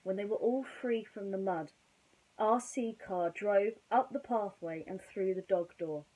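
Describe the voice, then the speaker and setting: A young woman telling a children's story informally, with a theatrical, expressive delivery. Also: mid-range voice, a little slow, poor audio. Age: young adult. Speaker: bedtime storyteller. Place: small bedroom, indoors.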